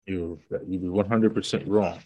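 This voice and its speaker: A man hosting a casual Bible lesson over a video call, his voice raspy from illness, explaining things slowly and teasing his partner. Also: low-pitched, fairly even intonation, muffled recording.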